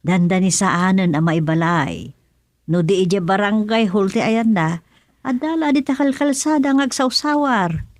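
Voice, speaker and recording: A worried, matter-of-fact village mother acted by a woman in a radio serial. Her voice sits at a medium pitch with an Ilocano Filipino accent, recorded close and dry. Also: measured pace, no echo, lightly acted. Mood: grumbling.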